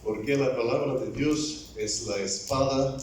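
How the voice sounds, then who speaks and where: A man preaches a sermon in a church, his words slow, deliberate and a little halting, as if still finding his way in the language. His voice comes from some distance away and rings through a very echoey room, with faint ambient noise underneath. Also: medium pitch, a little mellow. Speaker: evangelist preacher.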